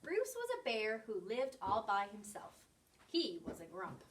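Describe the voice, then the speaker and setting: A woman reading a children's picture book aloud in an expressive storytelling style. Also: mid-range voice, a little slow, far from the mic, noisy background. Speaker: story reader.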